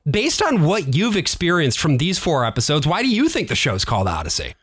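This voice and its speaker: Animated, higher-voiced man hosting a podcast. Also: fairly quick, breathy edge, no room sound, clean studio sound. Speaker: podcast co-host. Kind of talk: casual, joking podcast chat.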